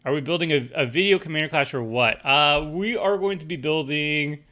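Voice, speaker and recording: A man's voice: on the high side, normal speed, bright, forceful tone. A young man casually chatting on a live stream, rambling with lots of fillers and restarts, sounding a little stuffy. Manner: rambling, many fillers and restarts, reads chat aloud. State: stuffy from allergies. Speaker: streamer building a deck with chat.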